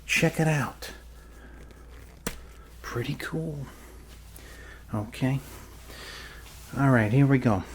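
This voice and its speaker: A man's voice: medium pitch, drawn-out words, pauses often, noisy background. A man improvising an ASMR roleplay as a goofy, reassuring doctor, rambling casually and joking as he goes.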